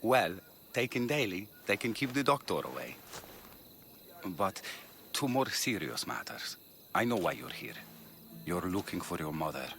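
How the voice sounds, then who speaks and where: An actor playing a dedicated, brisk ancient Greek physician in a video game, earnest and emphatic in the way he talks. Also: low voice, very animated, a bit bright, a little hiss or hum, exaggerated voice. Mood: friendly, measured.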